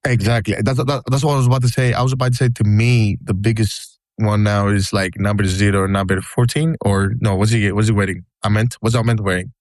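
Greek-accented man, animated podcast talk, repeats for emphasis, dry close sound. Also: on the low side, a little fast, few pauses, no room sound. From Greece. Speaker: podcast co-host. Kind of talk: casual chat.

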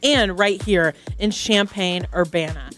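A woman's voice: fairly low pitch, measured pace, a bit bright, faint music behind. An American woman hosting a morning radio show, speaking in an upbeat interview style. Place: radio studio.

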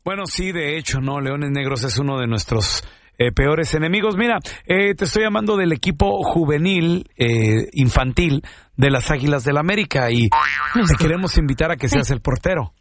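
A man's voice: a little high, normal speed, no echo, studio quality. A man with a Mexican accent making a prank phone call, teasing and persuading in a mock-earnest way. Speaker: radio show prank caller.

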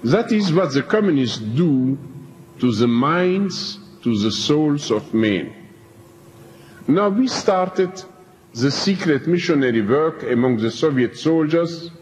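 An older man, speaking slowly and emphatically as he recounts his prison experience to an audience. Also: on the high side, lively intonation, slight background noise. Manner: slow, deliberate, emphatic phrasing with pauses. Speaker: preacher telling his prison story. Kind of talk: slow spoken testimony to an audience. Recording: dull lo-fi archive tape in a reverberant hall.

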